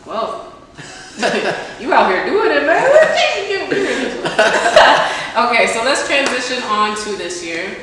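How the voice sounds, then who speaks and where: Male interviewer, chatty and fairly high; long room reverb, distant mic. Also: sing-song, takes it slowly, crowd noise. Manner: chatty, finishes guest's sentences. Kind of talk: casual sit-down interview. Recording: echoey room, far camera mic.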